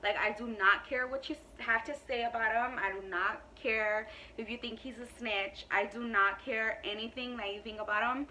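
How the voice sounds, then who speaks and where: A woman's voice: a little high, steady tone, moderate pace, hardly any pauses, pushed and bright, far from the mic, slight background noise, rough recording. A woman in her twenties chatting casually to camera in a beauty Q&A, emphatic and full of "like" and "you know.". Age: 20s. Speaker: beauty vlogger.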